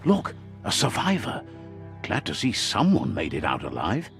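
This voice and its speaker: A middle-aged man narrates in a low, deep voice with a lot of rise and fall in his pitch. His delivery is measured and wise, like a scripted storyteller, with soft music behind him. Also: wildly swooping pitch, vocal fry.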